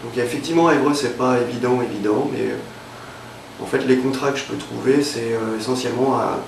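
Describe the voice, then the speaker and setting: Creaky-voiced young man, off-mic. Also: mid-range voice, fairly quick, distant mic, noisy background. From Évreux, Normandy, France. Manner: hesitant, many fillers and restarts. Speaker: interviewee, freelance illustrator. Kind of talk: casual interview. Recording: roomy, off-mic with faint ambience.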